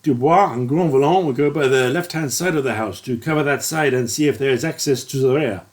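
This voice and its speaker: A narrator voicing a calm, decisive male French officer with a measured, firm delivery. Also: mid-range voice, close to an everyday voice.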